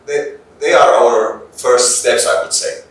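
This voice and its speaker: A man's voice comes from some way off in a heavily echoing room. He speaks English with a German accent, pausing to search for words as he answers questions like a police instructor being interviewed. His pitch sits in the middle of the male range. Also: fairly bright tone. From Bavaria, Germany. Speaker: police officer and trainer, interviewee. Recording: echoey room, distant microphone.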